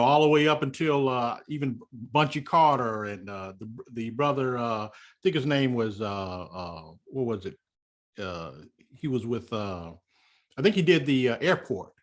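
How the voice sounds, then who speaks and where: A man who grew up in Louisiana, hosting an interview and backing his guest with steady "right" and "wow" responses. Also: mid-range voice, moderate pace. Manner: affirming, frequent "wow" and "right" backchannels. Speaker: host. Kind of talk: interview conversation.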